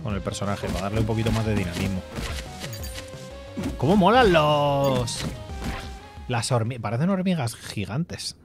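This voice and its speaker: A man's voice: mid-range voice, big pitch swings, normal speed, long pauses. A man in his thirties from Spain, chatting loosely and swearing casually while he plays a game on stream, heard through a headset mic at home. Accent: Spanish from Spain. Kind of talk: casual gameplay commentary. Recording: faint game music behind.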